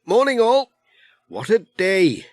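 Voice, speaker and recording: A man's voice: high voice, huge pitch swings, takes it slowly, darkish tone, pitched above the performer's own voice, exaggerated voice. A man voicing a cheerful, easygoing village postman with a dry wit and chatty, folksy talk in a children's audiobook. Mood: cheerful, curious.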